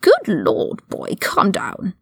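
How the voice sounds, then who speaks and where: A woman voicing an older aristocratic male wizard prisoner, haughty and mocking, with measured, condescending, formal speech in an audiobook-style story reading. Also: medium pitch, big pitch swings, vocal fry, no room sound, clean studio sound. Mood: exasperated, insistent.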